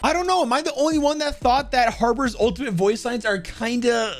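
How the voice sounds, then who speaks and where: A man with a high-pitched voice hosts a gaming video. He talks informally and conversationally, ranking things and sharing his opinions as he goes. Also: fairly quick. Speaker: video host. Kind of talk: casual ranking commentary on a video game.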